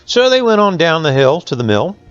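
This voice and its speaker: A man with a Southern Appalachian American accent telling stories to children in a folksy, conversational way with dramatic pauses. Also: a little high, sing-song, smooth, no room sound, lo-fi sound, soft background music. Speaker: school resource officer reading folk tales to students.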